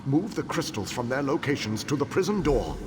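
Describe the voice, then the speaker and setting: An actor playing a proud ancient elven king and calculating tactician, speaking in a measured, formal, commanding way in a video game. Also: mid-range voice, big pitch swings, noisy background, lightly acted. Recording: long hall reverb with loud ambience.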